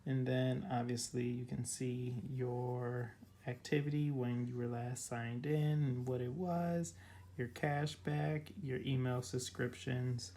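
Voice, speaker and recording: Raspy young vlogger, flat delivery. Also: mid-range voice, slow. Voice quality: raspy, cracky. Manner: rambling, filler-heavy, with many ums and likes. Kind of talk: casual screen walkthrough.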